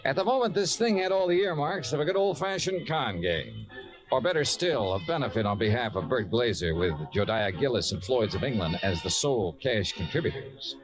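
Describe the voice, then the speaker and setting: Guy acting old time radio detective, dry n skeptical, expressive mid voice, music underneath. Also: mid-range voice, natural voice, low-quality recording. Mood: wry narration. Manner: dry, measured, politely doubtful. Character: wry, sceptical male private investigator narrating his case.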